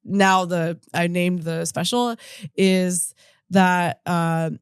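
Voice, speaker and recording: A woman's voice: lowish voice, flat delivery, drawn-out words, smooth, no room sound, studio quality. A woman in her thirties who grew up in Japan, a comedian guest telling stories in a rambling, self-interrupting way with frequent laughs. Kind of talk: casual podcast conversation. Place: in-studio podcast.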